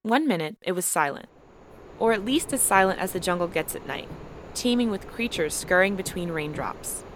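A woman narrating a student podcast episode in a measured, lightly wry scripted style. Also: lowish voice, expressive, noisy background. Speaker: podcast host and student reporter.

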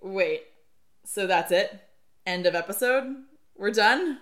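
Woman co-host, mid pitch, curious questioning manner, roomy echo. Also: animated, takes it slowly. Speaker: podcast co-host. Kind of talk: scripted podcast dialogue. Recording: echoey room.

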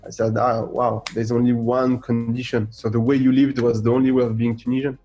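Animated French-accented man with a mellow voice. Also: mid-range voice, fairly quick, muffled recording, faint music behind. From France. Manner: animated, fires rhetorical questions, acts out quoted voices. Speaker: guest artist. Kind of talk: radio interview.

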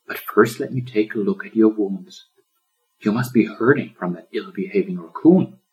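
A man voicing a wise, gentle old lion in a storybook, speaking in a loving and welcoming manner. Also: on the low side, normal speed, close to an everyday voice, low-quality recording. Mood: calm, caring.

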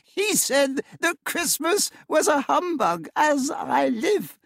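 A man's voice: very high voice, very animated, a little slow, dry recording, studio quality, voice pushed up, full character voice. A man voicing a merry, hearty young nephew who laughs easily, in a storybook reading.

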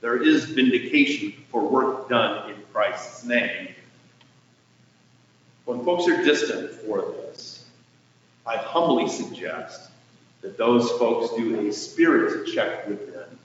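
A man preaching a sermon as a pastor, speaking in a measured, earnest way with emphatic swells and soft endings to phrases. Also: medium pitch, animated. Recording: distant mic in echoey hall, dull, faintly noisy.

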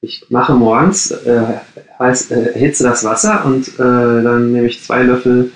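A man's voice: medium pitch, fairly even intonation, unhurried. A man in his late thirties from the Ruhr area, answering interview questions casually and with many hesitations. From Ruhr area, Germany. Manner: hesitant, many fillers and restarts. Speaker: coworking space co-founder, podcast guest. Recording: roomy echo.

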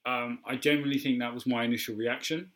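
A man's voice: mid-range voice, fairly even intonation, fast, a bit bright. A man co-hosting a podcast, chatting casually about films, with many ums and restarts. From England. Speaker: podcast co-host. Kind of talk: casual film discussion.

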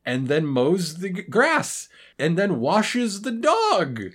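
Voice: mid-range voice, huge pitch swings, slow pace, slightly rough. A podcast co-host casually recapping a story, with dry asides and acted-out quotes. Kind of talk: casual, wry episode recap.

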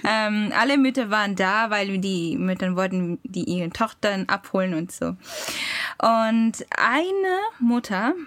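Podcast co-host: young woman, unhurried and word-searching, giggly, recorded very dry. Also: medium pitch, expressive, a bit bright, no room sound. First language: Japanese. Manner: halting, searching for words, giggly. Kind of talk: casual personal storytelling.

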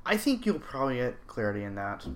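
A man's voice: mid-range voice, sing-song, fast talker. A man co-hosting a podcast, chatting casually and laughing along with his co-host. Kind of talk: casual chat.

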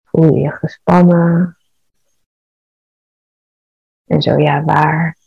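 A woman leading a guided meditation in a calm, measured, soothing manner. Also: deep voice, gravelly, slightly rough, no room sound, muffled recording.

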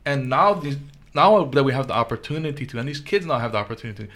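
A man's voice: mid-range voice, fast, fairly bright tone. A middle-aged man from Hawaii, talking animatedly and laughing in a casual chat.

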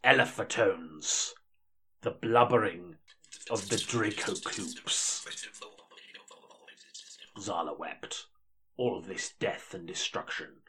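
An actor playing a polite, flustered British audiobook narrator in a comedy, with a husky voice. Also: male voice, on the low side, a bit bright, exaggerated voice. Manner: hesitant, apologetic, polite. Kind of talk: comedic acting, reading high fantasy narration.

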